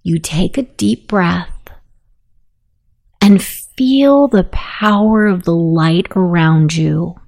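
Very slow, creaky, mellow woman guiding meditation. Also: fairly low pitch. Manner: soothing, slow, hushed, with drawn-out pauses. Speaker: meditation guide. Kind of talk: guided meditation, self-hypnosis narration.

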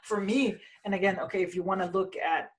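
A woman from Denmark in a casual conversation about spirituality, rambling with many restarts and fillers and often trailing off quietly. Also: mid-range voice, fairly even intonation, brisk.

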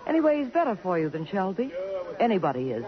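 A woman's voice: mid-range voice, very animated, quick pace, close to an everyday voice. An actress playing a worldly, frank, possessive society woman in a 1950s radio drama, arch and candid in manner. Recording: dull lo-fi radio, party crowd behind.

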